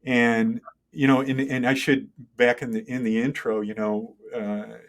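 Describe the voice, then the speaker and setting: A middle-aged man speaking as an interview guest, conversational and halting, thinking aloud and often checking in with the listener. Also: medium pitch, level tone. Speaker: interview guest, tech entrepreneur and engineer. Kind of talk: conversational interview, telling career story.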